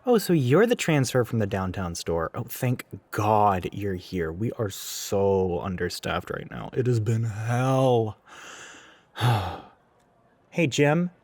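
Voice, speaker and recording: Man voicing a gender-ambiguous store coworker, sardonic, chatty, flippant, very lively intonation, casual audio roleplay. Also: on the low side, slow pace, no room sound, exaggerated voice. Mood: weary, relieved. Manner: rambling, sarcastic, flippant, with sighs and chuckles. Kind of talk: casual roleplay monologue addressed to the listener.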